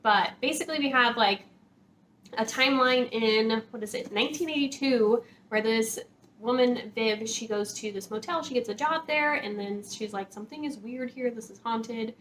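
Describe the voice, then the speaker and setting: A woman with a fairly high voice talks casually to her viewers as a book vlogger. Her delivery is informal and conversational, padded with filler words. There is noticeable background noise on the recording. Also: normal speed, fairly bright tone.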